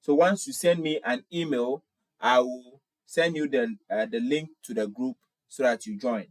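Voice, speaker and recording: A man's voice: medium pitch, measured pace, no echo. Ghanaian man hosting a livestream. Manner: friendly, repeats himself, reads viewer comments aloud. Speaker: livestream host. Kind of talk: casual livestream chat reading comments.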